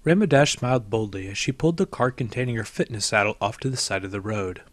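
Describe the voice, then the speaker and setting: A man's voice: on the low side, fast talker. A man reading a story aloud as scripted narration. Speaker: story reader.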